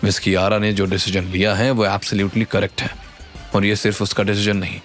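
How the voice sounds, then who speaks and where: A man voicing a powerful businessman in an audio drama, low and controlled, confident and coolly menacing. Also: flat delivery, close to an everyday voice. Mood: cold, firm. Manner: slow, confident, authoritative. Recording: background music under the voice.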